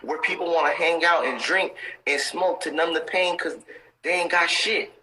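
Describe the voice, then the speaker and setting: A man's voice: fairly high pitch, fairly even intonation, moderate pace, bright, forceful tone, low-quality recording. A man in his thirties giving motivational talk on a live stream, intense and preachy, repeating his points for emphasis. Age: 30s.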